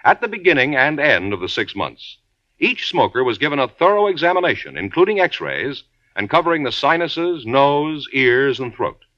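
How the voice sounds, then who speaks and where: Bright, lively old-time radio ad announcer. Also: male voice, mid-range voice, normal speed, dry recording. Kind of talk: 1950s radio advertisement. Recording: muffled, dry old radio broadcast.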